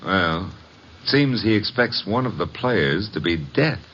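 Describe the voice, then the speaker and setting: An actor playing a breezy, cocky detective's assistant, wry and flippant in old-time radio style. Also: male voice, low-pitched, expressive, measured pace. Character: wisecracking male detective's legman, breezy and cocky. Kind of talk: old-time radio drama acting and narration. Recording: dull vintage radio with music behind.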